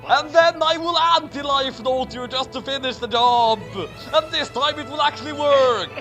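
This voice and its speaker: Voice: high voice, normal speed, fairly bright tone. A person doing a comedic dramatic reading of a garbled fan story as host, in a theatrical, mock-outraged manner. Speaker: host and reader. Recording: music playing behind. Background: music.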